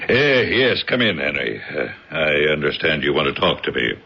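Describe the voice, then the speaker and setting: An actor playing a domineering, stingy factory boss in an early eighties radio drama, blustering and preachy with a gravelly voice. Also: male voice, rumbling low, very animated, normal speed, heavily acted. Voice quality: gravelly, rough. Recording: dull old radio broadcast.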